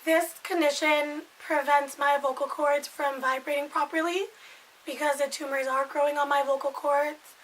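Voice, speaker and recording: Woman, 20s, hoarse raspy voice pitched high, loose rambling vlog delivery. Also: little pitch movement, normal speed, a bit bright, rough recording. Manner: rambling, loses her thread and repeats herself. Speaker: vlogger. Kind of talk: casual chat to camera.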